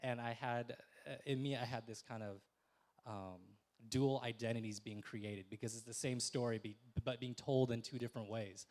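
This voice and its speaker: A young man speaking on a discussion panel in a voice of middling pitch. He reflects slowly on each answer, with plenty of ums and restarted sentences. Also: steady tone, a little mellow. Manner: thoughtful, many fillers and restarts. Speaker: panelist, film festival director.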